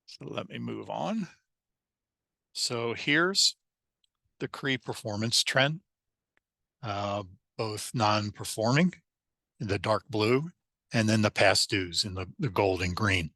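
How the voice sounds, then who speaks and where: A man presenting slides in a webinar, hoarse and losing his voice, halting with restarts and fillers. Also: fairly low pitch, expressive, sharp tone, no room sound.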